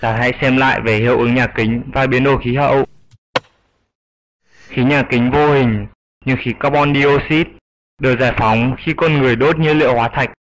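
A man voicing an old grandfather flying fox in an educational cartoon, explaining things in a measured, didactic way. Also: mid-range voice, fairly even intonation, slight background noise, natural voice, low-quality recording. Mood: instructive.

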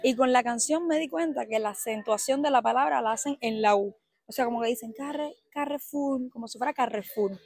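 A woman from Cuba with a Cuban Spanish accent, chatting excitedly to her viewers while walking a supermarket aisle. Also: fairly high pitch, fairly quick, no room sound. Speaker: vlogger. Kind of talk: casual walk-through vlog.

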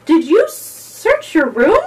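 A woman voicing a wise old male gnome who speaks in a calm, helpful way, heard from a distant mic with faint hiss. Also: high voice, slow, voice pushed up, full character voice.

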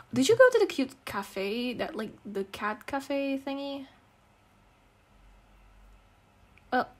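A woman acts out an affectionate, giggly girlfriend in an intimate ASMR roleplay. Her voice is soft and breathy, swinging widely in pitch as she teases and comforts at an unhurried pace. She is a non-native English speaker who rambles fondly with pet names. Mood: teasing, comforting. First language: not English. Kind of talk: intimate girlfriend roleplay ASMR, casual improvised-sounding chat.

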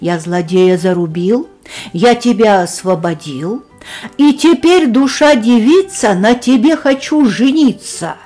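A brave young male mosquito from a children's story speaks boldly, voiced by a woman reader. He declaims slowly and proudly, and gentle music sits faintly behind him. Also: on the high side, expressive. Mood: bold heroic.

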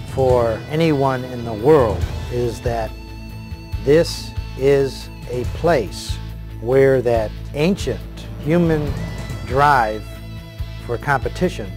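A middle-aged American man reflecting in a documentary interview, speaking deliberately in short, emphatic phrases. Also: medium pitch. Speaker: interviewed commentator. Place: indoor room, filmed interview.

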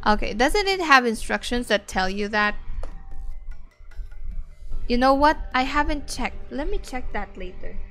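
A woman streamer with a bright, slightly raspy voice, talking unhurriedly to her chat. She rambles, restarts her sentences and laughs often. Soft music plays faintly underneath. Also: on the high side, animated, a little slow, long pauses. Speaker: VTuber streamer. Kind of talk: casual chat with stream viewers.

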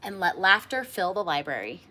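A middle-aged woman reading a children's picture book aloud expressively, with theatrical emphasis and drawn-out words. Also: fairly low pitch, animated, bright, forceful tone, a little hiss or hum. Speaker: storybook reader. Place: small indoor room with bookshelves.

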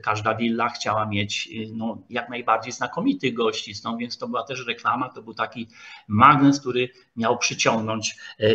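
A man giving a formal online history lecture, speaking haltingly with frequent hesitation sounds and pauses as he searches for words. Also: lowish voice, pushed and bright. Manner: formal, addresses listeners politely. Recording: dull lo-fi video call audio.

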